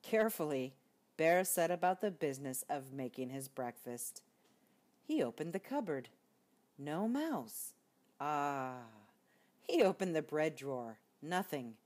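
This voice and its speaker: An older woman reading a children's storybook aloud expressively, as a grandmother would, with deliberate emphasis. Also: low-pitched, lively intonation, darkish tone.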